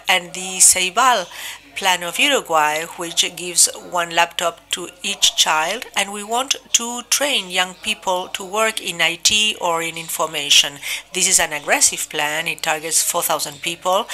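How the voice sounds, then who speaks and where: A woman interpreting a conference keynote live, delivering it in measured phrases with short breaks. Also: lowish voice, sharp tone. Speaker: simultaneous interpreter.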